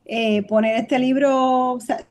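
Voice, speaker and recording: A woman's voice: sits high, steady tone, takes it slowly, a little mellow. A middle-aged woman with a faded Cuban accent, a historian guest talking animatedly in a podcast interview and often repeating words as she searches for them. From Cuba. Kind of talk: conversational interview.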